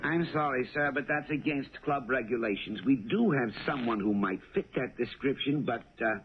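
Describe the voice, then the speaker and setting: An actor playing a polite, officious club attendant in a 1970s radio drama, formal and a little hesitant. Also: male voice, medium pitch, expressive, moderate pace, over music, lightly acted. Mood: formal, reluctant. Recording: noisy narrow-band old radio broadcast.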